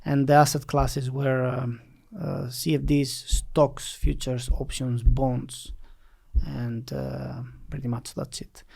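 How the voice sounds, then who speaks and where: Gravelly Greek man, halting delivery. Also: mid-range voice, level tone, mellow. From Greece. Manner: halting, hesitant phrasing with frequent fillers. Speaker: podcast guest, finance company director. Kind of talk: interview answers recounting a career.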